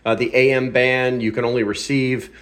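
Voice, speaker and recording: A man's voice: mid-range voice, little pitch movement, normal speed, a bit bright. A man hosting a casual hands-on product review, talking in an informal, off-the-cuff and self-deprecating way. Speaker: host of a gear review channel.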